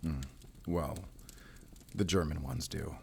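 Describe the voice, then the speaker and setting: Grim, determined werewolf hunter, low-pitched, brooding and terse, measured audio drama delivery. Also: male voice, steady tone, gravelly, a little hiss or hum, heavily acted. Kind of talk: cinematic audio drama acting.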